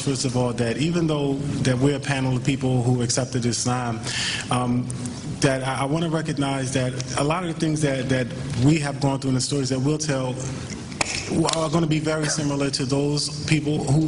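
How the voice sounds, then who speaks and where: A man on a conference panel speaking earnestly and haltingly, often repeating words as he starts to share his story. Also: mid-range voice. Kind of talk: personal testimony at a panel. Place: large auditorium stage at a conference. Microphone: table microphone. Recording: hall reverb with faint noise.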